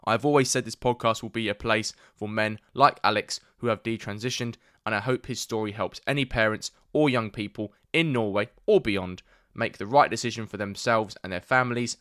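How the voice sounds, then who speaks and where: A man's voice: on the low side, fairly bright tone, clear, resonant tone, no echo, studio quality. A man hosting a podcast interview, reading a partly scripted introduction and asking friendly questions, calling his guest mate. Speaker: podcast host.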